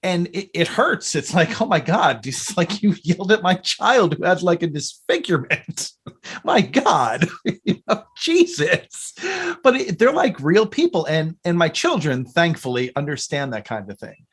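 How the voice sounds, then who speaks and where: A middle-aged man chatting casually as a podcast guest, telling rambling, animated stories. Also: on the high side, a little slow, breathy edge. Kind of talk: casual interview chat.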